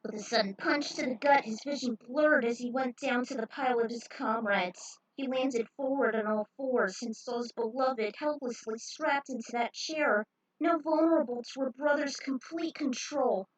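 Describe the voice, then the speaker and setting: A woman's voice: on the high side, moderate pace, dry recording, low-quality recording. A woman narrating a fan story and commenting over game scenes in a dramatic storytelling style.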